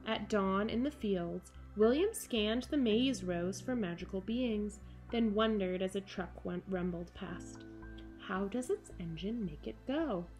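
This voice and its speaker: An American woman in her thirties hosting a children's storytime with animated enthusiasm, presenting and reading aloud in a room at home. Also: mid-range voice, unhurried, faint music behind. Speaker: camp director hosting a children's story corner. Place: small furnished room at home, indoors.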